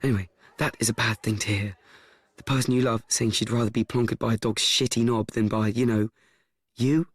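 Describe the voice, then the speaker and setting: Man playing awkward, deadpan teenage boy. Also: mid-range voice, fairly quick, slightly rough, no room sound, lightly acted. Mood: deadpan, confiding. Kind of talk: radio play monologue and dialogue.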